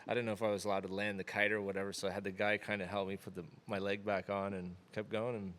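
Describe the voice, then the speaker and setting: A man in his thirties from the Florida Keys talks through an interview in a very low voice. His delivery is flat and level, rarely rising or falling. He rambles easily, leaning on plenty of fillers. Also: fast talker. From Florida Keys, USA. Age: 30s. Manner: relaxed, rambling with many fillers. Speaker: interview guest. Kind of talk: casual conversation.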